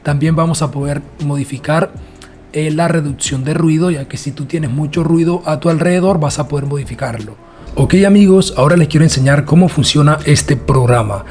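A man narrating a casual software tutorial in a chatty, repetitive way. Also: a little high, brisk. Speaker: tutorial host.

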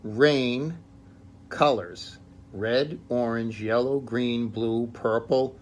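A man voicing a kindly mother rabbit from a picture book, patiently teaching her child with drawn-out phrasing. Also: mid-range voice, darkish tone. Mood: patient, instructive. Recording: clear background room noise, phone recording.

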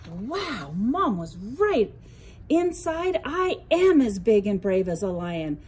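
A woman's voice: mid-range voice, sing-song, slow, a little mellow, a little hiss or hum. A woman voicing a young male lightning bug in a storybook, small, discouraged but determined. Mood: triumphant.

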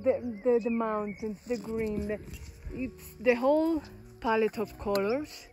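A woman's voice: fairly high pitch, a little slow, a little mellow. A woman, chatting casually as she hikes outdoors. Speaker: travel vlogger, hiker. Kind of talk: casual chat while hiking. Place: outdoors on a mountain trail. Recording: outdoor camera audio, music underneath.

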